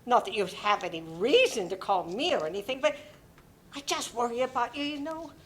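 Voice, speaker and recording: A woman playing a warm, fussy, motherly older neighbour in a dramatic play reading, doting and fretful as she chats. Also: fairly high pitch, lively intonation, brisk, a little hiss or hum, close to an everyday voice. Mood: concerned.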